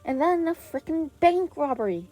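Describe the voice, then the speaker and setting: Mellow woman narrating a story over music. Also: high voice, very animated, moderate pace, low-quality recording. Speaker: podfic narrator. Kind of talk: expressive scripted story narration. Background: background music.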